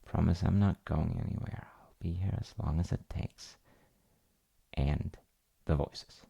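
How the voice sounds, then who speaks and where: A man playing an affectionate dad in a bedtime audio roleplay, speaking softly and hesitantly as he soothes his child. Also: low voice, steady tone, measured pace, vocal fry, slightly rough, exaggerated voice. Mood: gently playful. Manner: soft, hesitant, murmuring.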